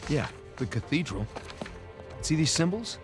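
A man's voice: a little high, big pitch swings, a little slow, music in the background. An actor playing an eager, stubborn treasure hunter in his thirties in a video game, an American man talking casually and persuasively. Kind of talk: cinematic video game acting.

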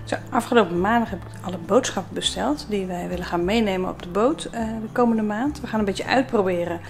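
A woman's voice: mid-range voice, fairly quick. A woman in her forties chatting casually to a handheld camera in a vlog. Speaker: sailing vlogger. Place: indoors in a home living room. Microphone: handheld camera at arm's length.

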